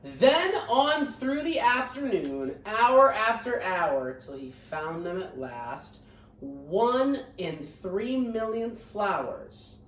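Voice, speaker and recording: A woman narrates a children's story aloud in an expressive teacherly style. Her medium-pitched voice rises and falls a lot, and it sounds roomy and a little away from the mic. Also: takes it slowly. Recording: roomy echo, distant microphone.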